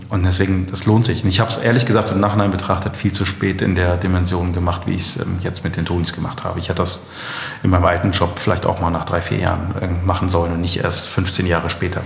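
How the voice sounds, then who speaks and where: A man's voice: deep voice, gravelly. A middle-aged man talking reflectively as a guest in a podcast interview. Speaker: interview guest, company founder. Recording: echoey, reverberant room.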